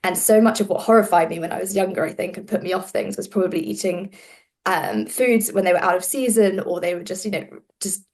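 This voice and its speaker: A woman's voice: medium pitch, fairly quick, a little mellow. A woman who founded a food brand, speaking on a webinar panel and thinking aloud as she repeats words. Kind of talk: casual panel discussion. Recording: webinar over video call.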